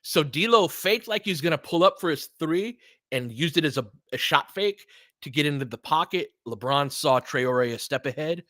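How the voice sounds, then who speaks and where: A man's voice: on the high side, expressive, moderate pace, a bit bright, dry recording, studio quality. A man giving casual, conversational basketball play-by-play and analysis, with short punchy calls and slangy language.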